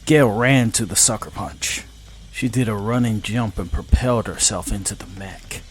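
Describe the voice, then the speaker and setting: Low-voiced man narrating dramatic science fiction. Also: moderate pace, faint music behind. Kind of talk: dramatic scripted sci-fi narration.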